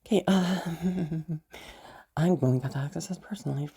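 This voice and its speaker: A woman playing an anxious, sweary frost mage in an audio roleplay, muttering hesitantly and haltingly. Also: low-pitched, vocal fry, warm tone, breathy edge, full character voice. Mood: flustered, anxious. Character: flustered, sweary young frost mage trying to protect a visitor.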